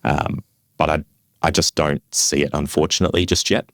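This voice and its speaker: A man giving conversational interview answers as a podcast guest, explaining things in a measured, tentative way. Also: a bass voice, fairly even intonation, fairly bright tone, no room sound, studio quality. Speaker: podcast guest, construction professional.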